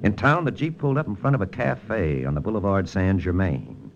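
A man's voice: deep voice, lively intonation, fairly quick, creaky, dull audio, noisy background. A man playing a suspicious army lieutenant who narrates in terse, wry noir style in an old radio drama. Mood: brooding, wry. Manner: terse, clipped, wry storytelling. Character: hardboiled, suspicious male army lieutenant narrating his investigation. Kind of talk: 1940s-50s radio drama acting, first-person noir narration. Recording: old radio recording with music underneath.

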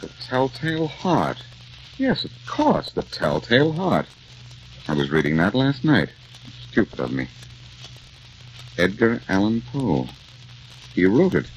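A man playing a grave, sympathetic hospital doctor in a measured, solemn way in an old radio drama. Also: lowish voice, wildly swooping pitch. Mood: realizing. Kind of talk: 1940s radio drama acting. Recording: slightly noisy vintage radio recording.

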